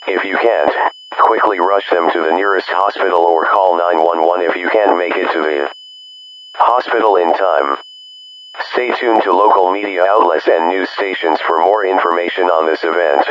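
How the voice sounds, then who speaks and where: A man playing a formal emergency alert announcer, reading a measured scripted warning. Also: sits low, fairly bright tone. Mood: formal, grave. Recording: muffled radio alert with faint hum.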